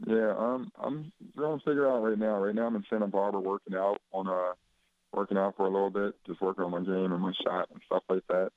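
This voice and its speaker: Young male athlete guest on a dull phone line, deep and monotone, easygoing and rambling. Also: fast talker, no echo. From Chicago, USA. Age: 20s. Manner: laid-back, rambling with many fillers. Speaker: basketball player guest. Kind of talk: casual phone interview.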